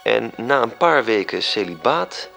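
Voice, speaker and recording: A man narrating a literary radio drama in a measured, serious storytelling manner. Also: mid-range voice, very animated, vocal fry, pushed and bright, soft background music, exaggerated voice.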